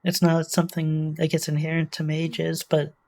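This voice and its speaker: A woman's voice: low-pitched, monotone, moderate pace, darkish tone. A woman giving casual, off-the-cuff reaction commentary, thinking aloud in a musing, tentative way. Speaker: reaction video host.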